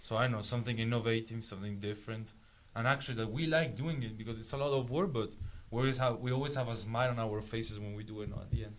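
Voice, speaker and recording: A man's voice: mid-range voice, steady tone, quick pace, few pauses, low-quality recording. A young man with an Italian accent, a student guest answering casually in an interview. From Italy. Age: young adult.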